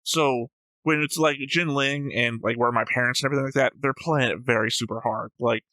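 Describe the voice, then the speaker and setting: Blunt man, bright forceful voice. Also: medium pitch, fairly even intonation, a little fast, no echo, low-quality recording. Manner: blunt, analytical, flippant. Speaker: host of a show reaction video. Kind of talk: casual opinionated discussion.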